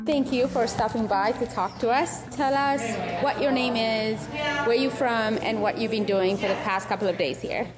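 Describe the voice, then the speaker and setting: A woman's voice: a little high, normal speed. A woman interviewing people at a retreat in an upbeat, encouraging way. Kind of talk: casual short interviews. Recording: long reverb with clear music behind.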